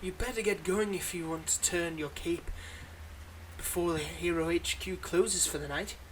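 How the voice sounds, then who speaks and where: A man's voice: on the high side, fairly even intonation, normal speed, a little hiss or hum, natural voice, poor audio. A man playing a weary, wry supervillain with a secretly kind side, talking conversationally and a little hesitantly in an intimate roleplay audio monologue. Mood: teasing, fond.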